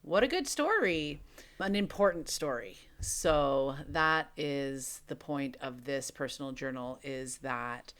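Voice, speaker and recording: A woman's voice: rumbling low, lively intonation, takes it slowly, fairly bright tone. A woman podcast co-host recording a casual personal journal of her reflections on a book.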